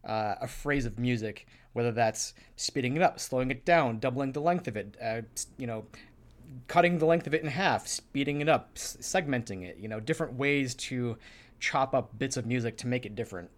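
A man with a medium-pitched voice chats as a podcast co-host. He is casual and tentative, modest about his own know-how. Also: moderate pace. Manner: tentative, self-deprecating about his technique.

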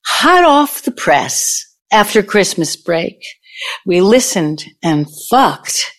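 A woman's voice: medium pitch, sing-song, slow pace. A woman acting in an audio play as a brazen, needling older ex-girlfriend out for revenge, blunt and theatrical. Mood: brazen, reminiscing.

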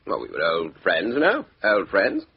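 A man's voice: sits low, wildly swooping pitch, moderate pace, creaky, slightly rough, full character voice. An offhand ex-army con man. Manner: offhand, casual, evasive. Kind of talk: 1950s radio drama acting. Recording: dull old radio broadcast.

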